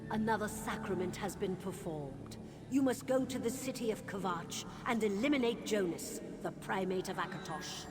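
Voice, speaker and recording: A woman's voice: medium pitch, animated, moderate pace, lightly acted. An actress playing a cold, commanding female leader of an assassin cult in a video game, imperious and severe. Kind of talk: dramatic video game acting. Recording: loud music under the voice.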